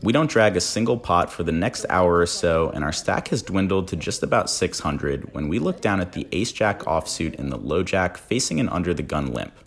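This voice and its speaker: A man's voice: low-pitched, big pitch swings, fairly quick, hardly any pauses. A man narrating a poker vlog in a scripted voice-over, with wry, self-deprecating delivery. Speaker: poker vlogger narrating hands.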